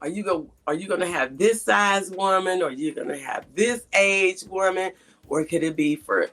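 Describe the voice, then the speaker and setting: A woman's voice: fairly low pitch, normal speed, fairly bright tone. A woman hosting a casual interview, often rephrasing her questions as she goes. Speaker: host.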